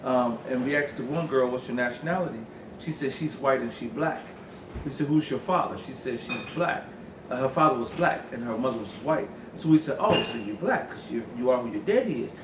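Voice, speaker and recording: A man's voice: medium pitch, lively intonation, fairly quick. Hesitant man telling a story, far off. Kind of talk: casual anecdote. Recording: distant mic in a noisy, echoey room.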